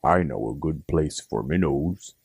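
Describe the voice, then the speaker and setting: Storybook gentleman frog, performed by a man: fussy, genteel, extremely low and husky, formal in his phrasing. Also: animated, measured pace, dry recording, clean studio sound, heavily acted. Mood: planning, composed. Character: small gentleman frog angler, fussy and proper.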